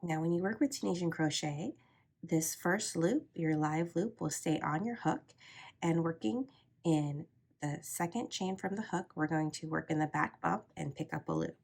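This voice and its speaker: A woman with a head cold, sounding congested, calmly talking through a crochet tutorial step by step. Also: deep voice, moderate pace, creaky. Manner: calm, steady instructional pacing with soft asides. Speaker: crochet teacher.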